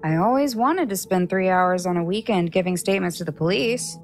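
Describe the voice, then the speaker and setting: A woman's voice: lowish voice, fairly quick, faint music behind, natural voice. A woman playing a wry, chatty, self-doubting young stage magician in an audio drama. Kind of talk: casual audio drama acting.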